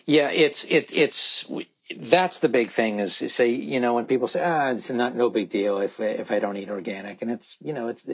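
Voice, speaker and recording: A man speaking as a guest expert in a candid interview, halting and repeating words as he insistently makes his points. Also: mid-range voice, breathy edge. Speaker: guest expert on organic food.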